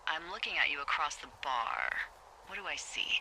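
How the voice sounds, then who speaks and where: An actress playing a wry, teasing American fire lookout supervisor in a naturalistic video game, talking conversationally over a walkie-talkie. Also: female voice, sits low, huge pitch swings, moderate pace, pushed and bright, soft background music, heavily acted, poor audio. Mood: playful, teasing. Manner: playfully sardonic, conversational. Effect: walkie-talkie radio. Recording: radio sound with clear background noise.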